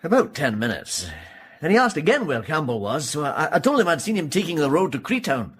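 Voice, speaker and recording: A man playing a humble rural farmer with a Scottish accent in a radio drama, halting, apologetic and respectful. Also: a little high, very animated, fairly bright tone, husky, exaggerated voice. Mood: earnest, respectful.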